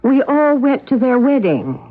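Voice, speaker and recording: Animated, homely farm mother. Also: high-pitched, measured pace, full character voice. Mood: hesitant, placating. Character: homely, accommodating farm mother of grown children. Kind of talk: 1970s radio drama acting. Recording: dull lo-fi radio broadcast recording.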